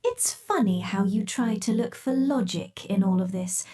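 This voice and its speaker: A woman performing an ASMR roleplay as a possessive, controlling scientist who addresses the listener in a soft, slow, menacing way. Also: mid-range voice.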